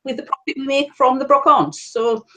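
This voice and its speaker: A woman's voice: high voice, steady tone, normal speed, sharp tone, slight background noise. A woman with a Welsh accent from South Wales, a guest reseller on a live stream, chatty and candid with wry asides. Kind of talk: casual live-stream chat.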